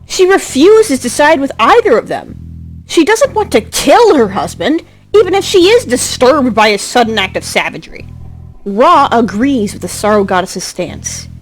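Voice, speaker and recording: A woman narrating a scripted drama as a storyteller, expressive, with a wry touch. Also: on the high side. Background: music.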